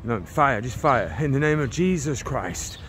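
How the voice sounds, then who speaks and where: A man's voice: mid-range voice, expressive, moderate pace, fairly bright tone. A man in his forties from England, praying and preaching fervently while walking down a residential street, filming on a phone held at arm's length, often rambling and restarting his words. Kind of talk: walk-and-talk prayer and preaching vlog. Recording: outdoor street noise with passing traffic.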